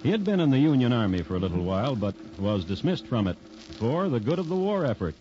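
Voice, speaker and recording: An actor playing a wry, deadpan true-crime host, narrating a scripted 1950s radio show with dry irony. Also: male voice, medium pitch, quick pace, slight background noise, natural voice. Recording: dull 1950s radio recording.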